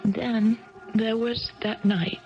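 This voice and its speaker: A woman's voice: fairly high pitch, flat delivery, takes it slowly, faint music behind. An actress playing a troubled woman tennis champion in a 1970s radio drama. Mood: dazed, wistful. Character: dazed, despairing tennis champion recalling her life. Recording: dull archival 1970s radio broadcast.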